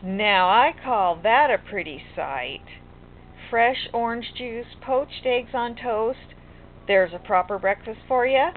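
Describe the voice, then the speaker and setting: A woman's voice: fairly high pitch, lively intonation, takes it slowly, slight background noise. An older woman voicing a hearty, patient storybook father in an emphatic, coaxing way. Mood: hearty, pleased. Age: older, grandmother. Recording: muffled lo-fi home recording, music behind.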